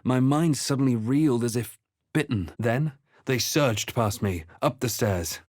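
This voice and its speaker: A man playing a wary, quietly wry fantasy adventurer in a video game, speaking in a measured, deliberate, dramatic way. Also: mid-range voice, dry recording, studio quality, close to an everyday voice. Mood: grave, intent. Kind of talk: video game voice lines, dramatic narration and muttered asides.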